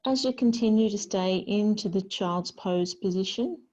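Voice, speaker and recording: A woman teaching a yoga class, giving gentle, unhurried guidance with pauses between phrases. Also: medium pitch. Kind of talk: guided yoga class instruction. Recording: slightly dull, lo-fi sound.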